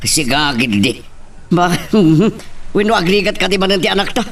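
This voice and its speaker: Man acting gruff evasive fellow, Ilocano accent, radio drama, fairly high male voice, animated, a bit distant. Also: normal speed, full character voice. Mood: brusque, uneasy. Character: Placido, gruff, evasive man bearing bad news. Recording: slightly distant mic.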